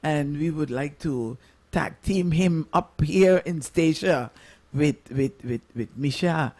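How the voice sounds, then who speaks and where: A man hosting a local radio program, introducing and interviewing guests in halting, wordy, rather formal phrasing. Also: fairly high pitch, darkish tone.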